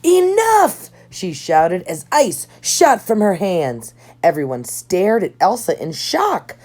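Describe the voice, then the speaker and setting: A woman reading a children's storybook aloud in an expressive storytelling style, with measured, dramatic delivery. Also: lowish voice. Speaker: storybook reader. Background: background music.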